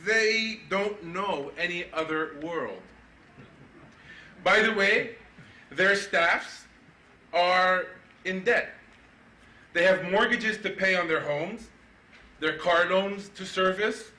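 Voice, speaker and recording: A man's voice: high-pitched, slow pace, pauses often. Sarcastic man giving a conference keynote. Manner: sarcastic, ironic delivery; animated, mock-indignant questions. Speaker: keynote speaker. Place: conference hall. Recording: echoey hall, mic from far off.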